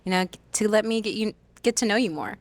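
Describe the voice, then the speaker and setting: A woman's voice: mid-range voice, fast. A woman on a dating show, chatty and full of fillers.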